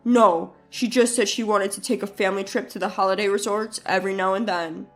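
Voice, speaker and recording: A woman's voice: medium pitch, fairly even intonation, brisk, soft background music, voice pushed down, close to an everyday voice. A woman narrator voicing an arrogant, selfish husband, brash and defensive, in a story. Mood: evasive. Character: arrogant, selfish, irritable husband caught deceiving his wife.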